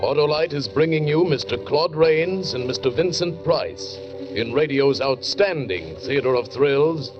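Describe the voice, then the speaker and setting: A man announcing a show in dramatic, measured 1940s radio style. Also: a little high, lively intonation. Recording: muffled old radio recording. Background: music.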